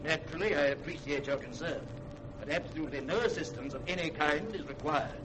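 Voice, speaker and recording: Through clear hiss of a 1950s radio recording comes a man playing a stern scientist, his voice fairly high. He is formal, aloof and imperious, in period radio drama style. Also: quick pace, lightly acted. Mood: aloof, curt. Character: stern, aloof male scientist warning visitors away.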